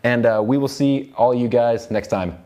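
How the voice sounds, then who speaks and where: A man's voice: mid-range voice, moderate pace, warm tone. An American man in his thirties giving a casual, loosely phrased live tutorial as a music production instructor. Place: indoor recording studio control room.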